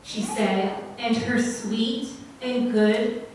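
A young woman telling a story to children at church in a slow, gentle way, asking them questions as she goes. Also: fairly high pitch, fairly even intonation, slight background noise. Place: church sanctuary, at the front. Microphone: far room camera. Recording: very echoey church from far off.

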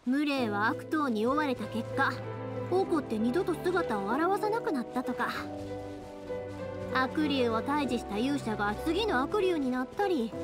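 Anime game daughter role: young, caring, Kansai accent, bright-spirited chatter, high and very varied pitch. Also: female voice. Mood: wistful. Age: young adult. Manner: expressive, friendly, chatty. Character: cheerful, caring young woman fireworks maker, devoted daughter.

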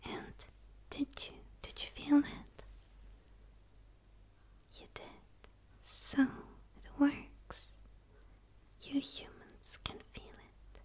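A curious, gentle alien woman played by a young female ASMR performer murmurs in a high, hushed voice. Her delivery is soft and mellow, with little rise or fall. She pauses often, soothing the listener from close to the mic. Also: monotone. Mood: tender, curious. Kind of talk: whispered ASMR roleplay.

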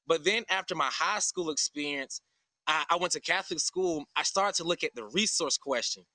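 American male, thirties, crisp bright voice, dry video-call sound, informal and explanatory interviewee. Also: on the high side, moderate pace, dry recording. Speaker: farm founder and interview guest. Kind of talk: conversational live TV interview. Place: outdoors under an open farm shelter.

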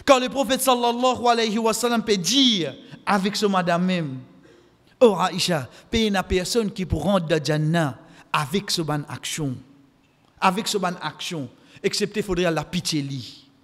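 Male preacher, Mauritian Creole accent, high-pitched, impassioned and exhortative, stand mic in a reverberant mosque. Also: moderate pace, fairly bright tone. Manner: impassioned, exhortative, repeating phrases for emphasis. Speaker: preacher giving a Friday khutbah. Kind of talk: sermon with Arabic quotations. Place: mosque, indoors at the pulpit. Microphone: microphone on a stand, close. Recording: echoey hall with long reverb.

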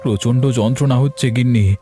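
A man's voice: medium pitch, a little fast, over music, close to an everyday voice. A man voicing a worried, aching, grumbling village husband in a Bengali cartoon, with a plaintive manner. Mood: pained, fretful.

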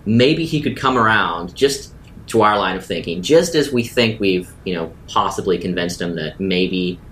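A man with a fairly low voice plays a tabletop roleplaying game. He improvises dialogue and narration. There is faint noise and rumble behind him. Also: animated, normal speed, fairly bright tone.

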